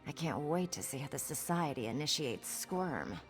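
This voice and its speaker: Sly, low-voiced pirate schemer, lively. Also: female voice, moderate pace, in a large hall, soft background music. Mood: wry, teasing. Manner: sardonic, conspiratorial. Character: scheming roguish female pirate, sly and cynical. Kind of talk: video game character dialogue.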